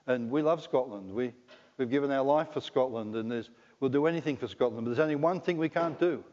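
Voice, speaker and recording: Middle-aged Australian preacher in a reverberant hall. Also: male voice, mid-range voice, brisk, darkish tone. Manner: conversational, joking, asks the congregation questions. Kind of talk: sermon. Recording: dull lo-fi recording in a reverberant hall.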